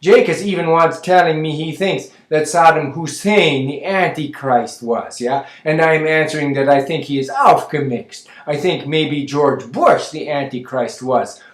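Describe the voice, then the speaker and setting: A man voicing a gossipy older Mennonite woman from rural Manitoba with a Low German accent, who rambles in scrambled word order and keeps adding "yeah?". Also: close to an everyday voice. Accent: Low German Mennonite English. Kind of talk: comic character monologue. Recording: roomy echo.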